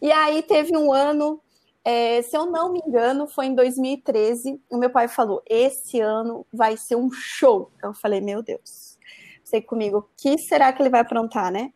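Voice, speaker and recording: Uma mulher jovem de Santa Catarina contando uma história de forma casual e animada, cheia de "né". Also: female voice, a little high, moderate pace. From Santa Catarina, Brazil. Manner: animated, frequent "né" tags. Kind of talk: casual storytelling.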